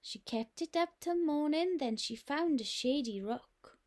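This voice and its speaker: A woman reading a rhyming picture book aloud, gently and expressively. Her voice sits a little high, and she takes a slow, unhurried pace. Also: expressive. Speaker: nursery teacher.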